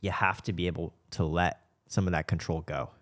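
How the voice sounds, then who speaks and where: A man's voice: deep voice, fairly even intonation, fairly quick, fairly bright tone. A man talking candidly and casually as a podcast host, reflective and given to rhetorical questions. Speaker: show host and company co-founder.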